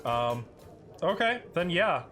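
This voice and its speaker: A man's voice: on the high side, sing-song, slow, a bit bright. Man running a tabletop game over music. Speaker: game master. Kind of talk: improvised narration and table talk in a tabletop game. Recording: music playing behind the voice.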